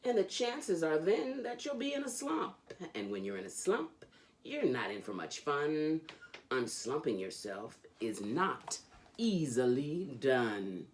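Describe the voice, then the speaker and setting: A woman hosting a children's read-along, reading a storybook aloud in an animated, sing-song storytelling manner. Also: low-pitched, slow, slight background noise.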